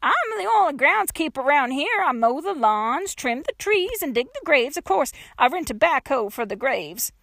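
A woman's voice: high voice, very animated, normal speed, creaky, hardly any pauses, fairly bright tone, no echo, studio quality, pitched above the performer's own voice, full character voice. A woman voicing an eccentric, argumentative woman in her sixties, a rambling gravedigger with folksy, ungrammatical speech in an audiobook. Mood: rambling, matter-of-fact.

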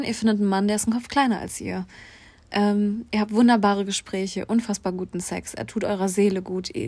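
A woman's voice: mid-range voice, darkish tone, dry recording. A young woman co-hosting a podcast, chatting casually and outspokenly, often speaking straight to listeners. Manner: outspoken, addresses listeners directly. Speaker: podcast co-host. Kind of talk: casual chat.

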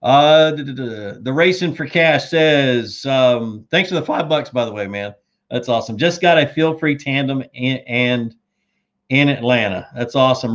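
A man hosts a laid-back fishing chat, answering viewers' questions in an easygoing, conversational way. His voice is mid-pitched and getting hoarse. The audio is a little dull and lo-fi. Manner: laid-back, says dude and man, reads viewer comments aloud. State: losing his voice. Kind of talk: casual live chat.

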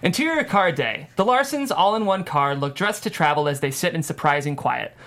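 A woman's voice: low voice, lively intonation, fairly quick, a bit bright. A woman reading scripted narration and stage directions at a comedy table read.

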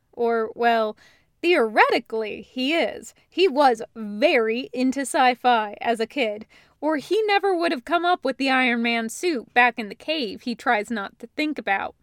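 A woman's voice: high-pitched, dry recording, clean studio sound. A woman narrating a story as a reader of fan fiction audiobooks.

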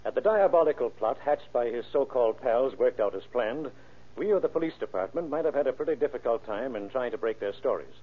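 A man's voice: mid-range voice, expressive, brisk, breathy edge. A man giving a formal, stern scripted address as a police chief on 1930s radio. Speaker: police chief, guest speaker. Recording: tinny old radio recording.

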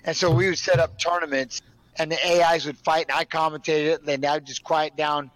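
A man in his forties chatting casually as a guest, telling stories with lively energy and acting out what people said. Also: a little high, brisk, no echo, low-quality recording. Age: 40s. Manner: lively, quotes people in acted voices. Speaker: guest, father who streams with his son. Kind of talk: casual group chat with animated storytelling.

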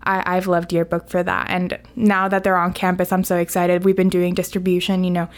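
A woman's voice: lowish voice, completely flat delivery, a little fast. Chatty teenage girl, native Spanish speaker. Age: late teens, high school student. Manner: chatty, informal, frequent "like". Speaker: student guest on a school podcast. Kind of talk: casual conversational interview.

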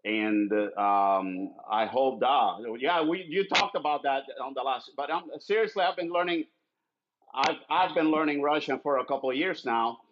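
A man's voice: medium pitch, moderate pace, highs cut off. A man originally from Cuba who speaks English almost natively, chatting on a podcast with frequent restarts and fillers. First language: Spanish. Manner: hesitant, many restarts and fillers. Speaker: podcast co-host. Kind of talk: casual chat.

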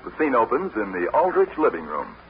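A man's voice: mid-range voice, huge pitch swings, brisk, background noise. An American man narrating as the announcer of a 1940s radio show. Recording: tinny 1940s radio recording. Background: prominent music.

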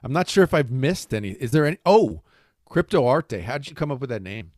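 A man's voice: mid-range voice, very animated, brisk, darkish tone, dry recording, studio quality. A man hosting a casual interview, chatting informally and with enthusiasm. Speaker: interviewer, host.

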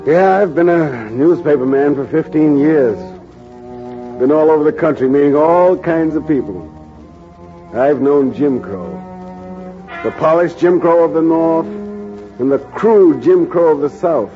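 A man playing a veteran newspaper reporter, narrating his story in a measured, grave way in a 1940s radio drama. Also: fairly high pitch, music in the background, lightly acted. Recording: muffled 1940s radio broadcast.

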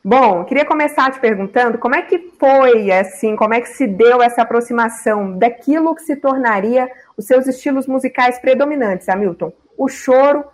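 A woman hosting a radio interview, reading a measured scripted introduction and asking clear questions. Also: a little high.

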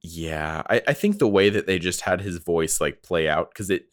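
A man's voice: low voice, moderate pace, dry recording, studio quality. A man co-hosting a casual, jokey review chat, often acting out imagined lines as he talks. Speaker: podcast co-host.

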